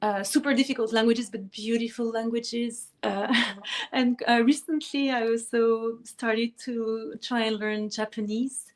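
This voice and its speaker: A woman's voice: on the high side, steady tone, unhurried. A middle-aged woman from France, whose first language is French, speaking in a conversational interview with light laughter and frequent restarts. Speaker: guest musician.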